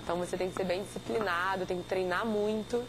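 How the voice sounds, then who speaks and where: A woman's voice: medium pitch, steady tone, fast talker, noisy background. A woman in her twenties with a Carioca accent, a swimmer answering interview questions earnestly. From Rio de Janeiro, Brazil. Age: 20s. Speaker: competitive swimmer, interview guest.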